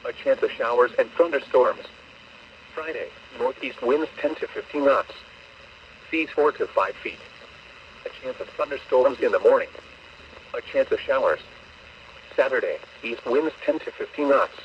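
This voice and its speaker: A synthetic male voice reads a marine forecast in flat, clipped phrases. It plays through a small radio speaker a distance from the mic, so it sounds dull and lo-fi with a faint hiss. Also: medium pitch, normal speed, long pauses. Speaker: weather broadcast voice. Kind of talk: automated marine weather forecast.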